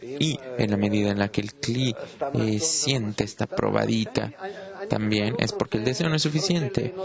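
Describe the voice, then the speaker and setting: Man, gravelly low voice, non-native speaker, halting lecture, many pauses, crowd noise behind. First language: not Spanish. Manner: halting, frequent "eh" pauses and restarts. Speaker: Kabbalah teacher. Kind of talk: unscripted lecture.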